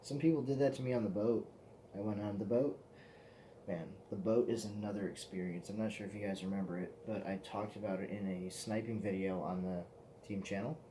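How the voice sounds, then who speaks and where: A man's voice: fairly low pitch, fairly even intonation, fairly quick, background noise. A man in his twenties, hosting his own vlog in a loose, profane, meandering casual chat. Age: 20s. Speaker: vlog host.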